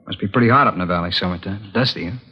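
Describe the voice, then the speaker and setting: A dull-sounding old radio recording of an evasive crime suspect with a low voice. He talks in guarded, offhand slang, in the acting style of 1950s radio. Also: rapid-fire, husky. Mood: idle, evasive. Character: evasive, cagey male murder suspect under interrogation.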